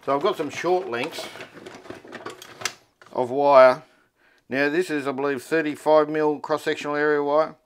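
A man's voice: a little high, fairly even intonation, measured pace, pauses often, clean tone. An Australian man presenting an informal how-to tutorial in a laid-back, colloquial way. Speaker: presenter of a four-wheel-drive repair tutorial.

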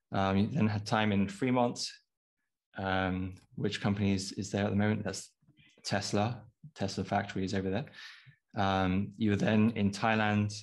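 A man in his thirties hosting an interview over a video call, halting and full of pauses. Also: lowish voice. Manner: halting, many fillers and restarts. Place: small home room.

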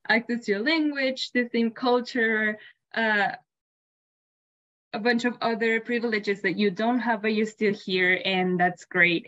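A woman's voice: medium pitch, lo-fi sound. A graduate student woman on an advice panel, speaking in a reassuring, direct way.

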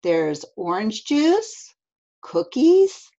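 A woman voicing a brisk, reassuring mother who waves away her child's fears in a singsong children's story reading. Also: medium pitch, huge pitch swings, vocal fry, darkish tone, breathy edge, dull audio, exaggerated voice. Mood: cheerful. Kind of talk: children's picture-book read-aloud.

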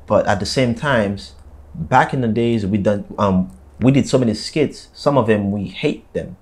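A young man with a Haitian accent, talking casually as a guest in a studio interview, full of halting restarts and "you know" fillers. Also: lowish voice. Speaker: comedian guest. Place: small decorated studio set indoors.

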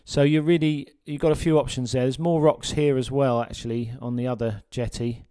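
A man narrating a sea fishing guide as a voice-over, relaxed and full of ums and uhs. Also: mid-range voice, fairly quick, smooth.

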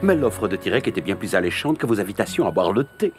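Mischievous cartoon chimera: theatrical, smug and whimsical, pitch leaping all over. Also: male voice, big pitch swings, quick pace. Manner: theatrical, teasing, flamboyant. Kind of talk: French-language animated cartoon dub. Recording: music behind the voice.

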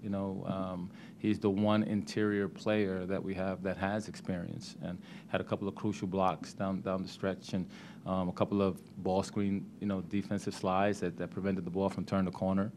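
Deep-voiced middle-aged male coach, measured, stop-start phrasing, slight room hiss. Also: little pitch movement, darkish tone. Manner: measured, repeats words when starting sentences. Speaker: head basketball coach. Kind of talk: postgame press conference answers. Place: press room. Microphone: table microphone.

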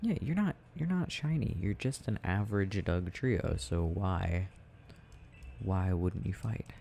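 Young male, mellow and creaky, soft ASMR gaming ramble, quiet musing delivery, slight background noise. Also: low-pitched, animated, unhurried. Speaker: audio roleplay creator. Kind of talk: soft casual rambling while gaming, ASMR sleep aid.

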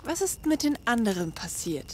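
A woman's voice: a little high, very animated, moderate pace, a little mellow, slight background noise. An actress playing a compassionate female survivor in a German zombie audio drama. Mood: concerned, caring.

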